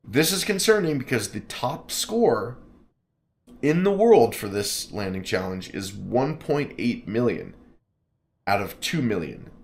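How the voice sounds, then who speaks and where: A man streaming a flight simulator, chatting casually and reading game text aloud with jokes and stumbles over place names. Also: lowish voice.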